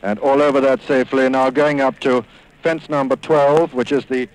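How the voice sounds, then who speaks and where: A man's voice: medium pitch, fairly even intonation, moderate pace. A British man giving formal, precise live horse race commentary in a 1950s broadcast style. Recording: noisy 1950s 78 rpm record.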